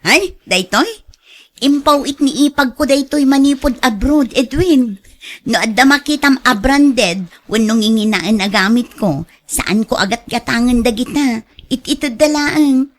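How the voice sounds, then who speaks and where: An actress with an Ilocano accent playing a practical, modest co-worker in a radio drama, talking conversationally. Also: female voice, fairly high pitch, measured pace. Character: practical, unpretentious female co-worker, modest about spending.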